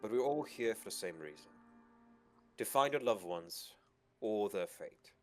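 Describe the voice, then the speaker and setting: A man's voice: fairly low pitch, lively intonation, a little slow, soft background music, voice pushed up. A man voicing a confident, formal expedition financier who addresses people with authority in a visual novel reading. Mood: formal, assured.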